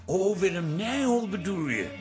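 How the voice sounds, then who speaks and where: Radio drama: affectionate, needling Dutch male partner, colloquial, high register, swooping intonation. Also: sing-song, measured pace. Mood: curious. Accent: Dutch, from the Netherlands. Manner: colloquial, teasing. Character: Toos's teasing, excitable, affectionate male partner. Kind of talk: naturalistic radio drama acting. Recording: music behind the dialogue. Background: music.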